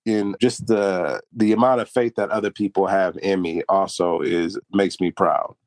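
A man's voice: low-pitched, fairly even intonation, moderate pace, a little mellow, no room sound. A young man talking earnestly and reflectively about pride and humility in a casual podcast chat. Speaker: podcast co-host.

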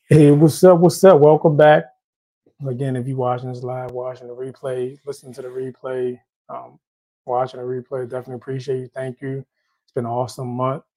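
A man's voice: mid-range voice, little pitch movement, brisk, warm tone, low-quality recording. A man from Haiti with a Haitian accent, hosting a casual question-and-answer show on credit, talking loosely and often restarting his phrases. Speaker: host.

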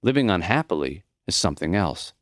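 A man voicing a kind, wise old dying professor in an audiobook, with a high, smoky voice and an earnest, teacherly manner. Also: very animated, brisk, a bit bright, no room sound, clean studio sound.

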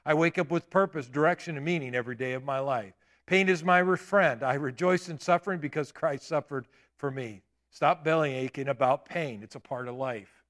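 A man in his late fifties preaching a sermon in a church, with emphatic declarations and quieter asides. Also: fairly high pitch, fairly quick. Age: 50s. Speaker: pastor. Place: church sanctuary.

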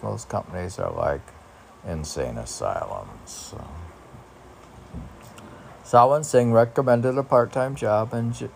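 A man reading poems aloud informally as a poet, with halting, musing asides between the lines. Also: on the low side, gravelly, darkish tone, a little hiss or hum.

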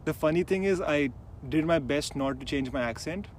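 A young man from Mumbai speaks in a casual, rambling way with an Indian accent. His voice sits a bit higher than most men's, and his sentences are full of "dude", "like" and restarts. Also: normal speed, slight background noise. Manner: rambling, says "dude" and "like" often, hesitant restarts. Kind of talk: casual conversation.

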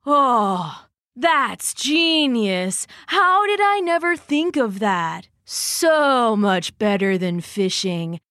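A woman voicing Grumbles, an eager, dim male bear who cheers his friend on excitedly. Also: on the high side, drawn-out words, no room sound, studio quality, heavily acted. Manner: excited, encouraging.

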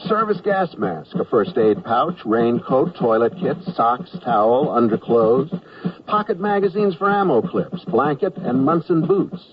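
Wry, animated hapless recruit. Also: male voice, medium pitch, measured pace. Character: hapless, polite newly drafted war correspondent. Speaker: narrator and lead of radio comedy. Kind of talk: scripted narration and comedy acting. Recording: dull, noisy radio recording.

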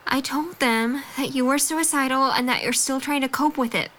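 A woman acting a frank young college student in an audio drama. Her voice is high and bright, and she comes across as earnest and firm, a supportive friend with limited patience. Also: fairly even intonation, normal speed, a little hiss or hum. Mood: confiding. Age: young adult.

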